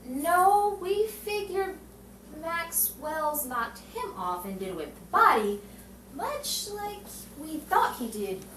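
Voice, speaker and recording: A sardonic newspaperwoman from a noir radio play, acted by a woman, tells her story theatrically. Her voice is on the high side and swings widely, heard from a distance in a roomy, echoing space. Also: very animated, slow, fairly bright tone, full character voice. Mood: probing, wry. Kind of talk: old-time radio noir acting and narration. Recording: roomy distant stage recording, faint noise.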